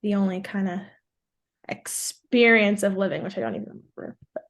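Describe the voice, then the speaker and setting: A woman's voice: medium pitch, a little fast. A woman in her twenties from New York, whose first language is Portuguese, chatting casually as a podcast guest and saying "like" a lot. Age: 20s. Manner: chatty, fills speech with "like". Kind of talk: casual interview chat.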